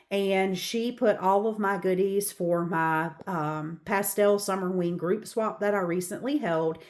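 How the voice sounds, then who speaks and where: A woman's voice: mid-range voice, a little mellow. Congested Southern woman giving a room tour. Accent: Southern American. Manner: chatty, with frequent ums and asides. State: congested, stuffy nose. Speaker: craft channel host. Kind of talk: casual room tour vlog. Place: home craft room, walking around.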